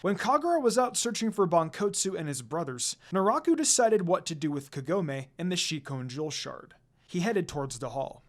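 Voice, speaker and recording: A man's voice: high voice, sing-song, normal speed. A man narrating a story aloud in a dramatic reading style.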